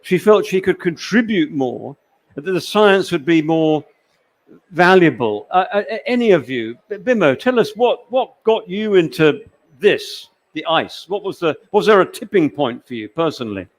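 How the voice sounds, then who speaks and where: A man with a slightly high voice hosts a live panel discussion. He talks in a chatty, encouraging way, drawing out guests and telling short anecdotes. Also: very animated, moderate pace. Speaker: panel moderator.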